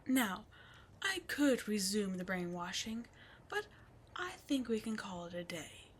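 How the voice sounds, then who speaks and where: A woman voicing a seductive, scheming female demon queen in an audio drama, teasing and taunting, dropping into breathy whispers. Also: medium pitch, big pitch swings, unhurried, a little hiss or hum.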